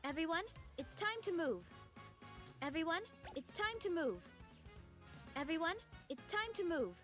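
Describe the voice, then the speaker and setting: A perky young female video game character, with an extremely high, very animated voice. She sounds like she is rallying a crowd over background music. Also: a little slow, exaggerated voice. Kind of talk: game voice line.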